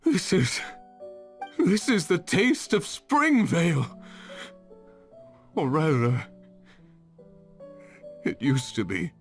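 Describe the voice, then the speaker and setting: A man voicing a gentle, wistful spirit of a bygone era in a video game, halting and solemn in his delivery. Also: on the high side, wildly swooping pitch, soft background music, exaggerated voice. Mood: moved, wistful. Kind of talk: video game dub acting.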